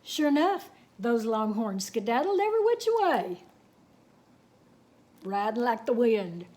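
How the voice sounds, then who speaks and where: Children's story reader: woman, high-pitched, very lively and folksy. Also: measured pace. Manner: animated, folksy, dramatic. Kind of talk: expressive storybook read-aloud with folksy asides.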